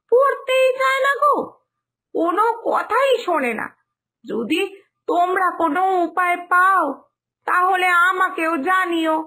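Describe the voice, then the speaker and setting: A woman's voice: extremely high pitch, sharp tone, heavily acted. A woman voicing a troubled village mother in a cartoon moral story, confiding in a plaintive way.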